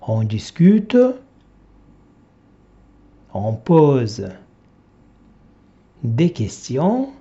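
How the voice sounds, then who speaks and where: A male language teacher reads a textbook dialogue aloud in short, separate phrases, leaving long pauses for students to repeat. His delivery is very slow, with an animated, sing-song pitch. The recording sounds dull and lo-fi. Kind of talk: slow dictation-style reading for repetition.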